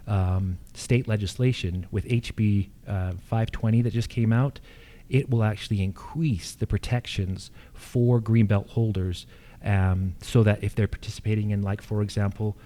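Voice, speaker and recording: An American man speaking off the cuff as a candidate at a public forum, explaining things in a halting way. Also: fairly low pitch, fairly even intonation, mellow. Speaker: incumbent candidate for county assessor. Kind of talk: extemporaneous answers at a candidate forum.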